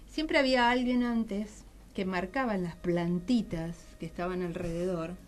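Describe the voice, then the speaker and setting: A middle-aged Argentine woman speaking as a guest in a conversational radio interview, explaining things and often pausing to search for words. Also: fairly low pitch, fairly even intonation. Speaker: guest, writer and journalist.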